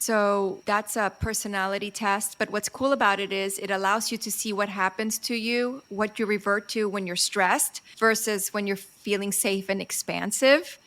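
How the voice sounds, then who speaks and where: A middle-aged woman from Chile hosting a podcast, chatting casually, bubbly and quick to laugh as she tells stories. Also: mid-range voice. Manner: bubbly, laughs often, animated storytelling. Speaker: podcast host. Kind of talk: casual interview chat.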